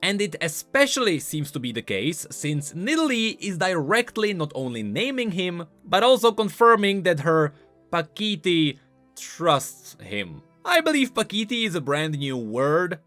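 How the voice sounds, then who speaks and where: A male YouTuber explains game lore in a fairly high voice with very lively swings of pitch. His delivery is scripted and emphatic, with light music behind him. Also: measured pace. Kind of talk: scripted commentary on game voice lines.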